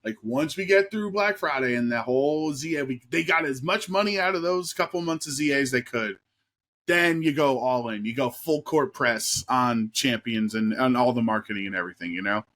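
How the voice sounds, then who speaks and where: A man of middle pitch co-hosting a podcast in casual chat. He talks with enthusiasm, rambling and leaning on fillers as he goes. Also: normal speed, a bit bright. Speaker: podcast co-host.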